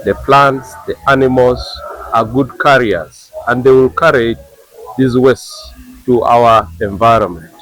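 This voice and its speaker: A man with a Ugandan English accent explaining waste management in measured, short phrases. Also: mid-range voice, a bit bright, low-quality recording. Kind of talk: explanatory talk.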